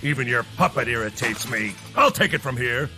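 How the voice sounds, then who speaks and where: A man's voice: mid-range voice, animated, a little fast, sharp tone, soft background music. An actor playing a brash, mocking male race host in a comic video game, bombastic and taunting. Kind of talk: comic announcing.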